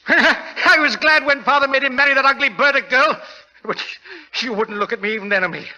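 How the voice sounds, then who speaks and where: Bitter, high-voiced jealous young aristocrat. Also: male voice, a little fast, pushed and bright, heavily acted. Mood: bitter, desperate. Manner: melodramatic, overwrought. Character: bitter jealous young aristocrat, thwarted lover turned schemer. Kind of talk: 1940s radio acting. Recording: muffled old radio broadcast, long reverb.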